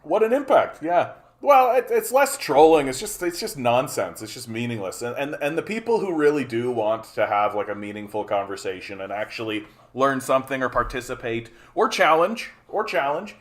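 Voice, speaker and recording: A man of forty from Canada talks casually on a livestream at a middling pitch. He rambles, repeats himself and fills gaps with "uh", stopping often to greet viewers by name. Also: animated, moderate pace. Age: 40s. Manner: rambling, repeats phrases, many fillers. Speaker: livestream host.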